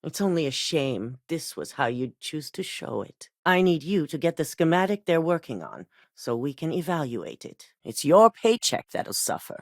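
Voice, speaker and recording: A woman's voice: deep voice, big pitch swings, moderate pace, few pauses, no room sound, studio quality, heavily acted. An actress voicing a cold, commanding female CEO in a video game, curt, stern and condescending as she gives orders. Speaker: company chief giving orders.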